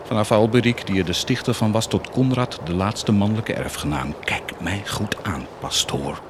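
A man's voice: lowish voice, moderate pace, noisy background, lightly acted. An actor playing a cynical, blasphemous army commander who is proud of his wickedness, in a theatrical, declamatory radio drama style with defiant outbursts. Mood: boastful, defiant. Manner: declamatory, defiant, swinging from muted menace to outbursts.

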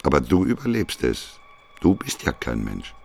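A grumbling prophet in a radio play, with a rock-bottom, creaky voice. He is sardonic and defiant, sounding rough and husky as he complains. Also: very animated, normal speed, a little mellow, faint music behind, exaggerated voice. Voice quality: very deep, gravelly, rough voice. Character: grumbling, defiant male prophet trapped in fire.

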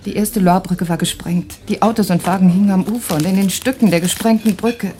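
Actress as an anguished young refugee wife, mellow. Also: female voice, medium pitch, steady tone, a little fast, close to an everyday voice. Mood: haunted recollection. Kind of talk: dramatic radio play acting.

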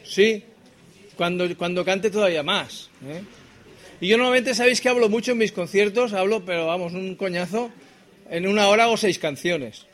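A man's voice: high voice, moderate pace, fairly bright tone. A male singer-songwriter giving informal stage banter, projecting his voice to the back of the room. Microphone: speaking unamplified, mic only for radio pickup.

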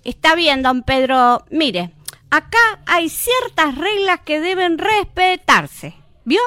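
A woman with an Argentine accent playing a strict, officious care-home attendant in a radio drama, with brisk delivery. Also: high voice, big pitch swings, pushed and bright, no echo, heavily acted. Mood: bossy.

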